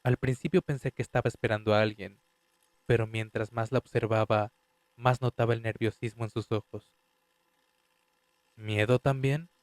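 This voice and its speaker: A man's voice: fairly low pitch, no echo, lightly acted. A man voicing a young male designer who gives a confessional, slightly defensive first-person statement in a fan dub audio drama. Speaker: voice actor reading a statement.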